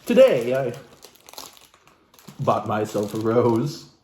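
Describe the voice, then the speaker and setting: Theatrical man, swooping pitch, roomy sound. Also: very animated, dark tone. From Alaska. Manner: florid, mock-genteel, dramatic emphasis and pauses. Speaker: host reading aloud from a book. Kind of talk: comedic theatrical book reading with asides to viewers. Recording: roomy, echoey room sound.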